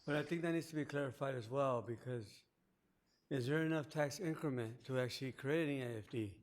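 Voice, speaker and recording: A man's voice: mid-range voice, brisk. A man on a county board asking questions and urging caution, explaining points at length at a public meeting. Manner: cautionary, explanatory, thinking aloud. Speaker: board member.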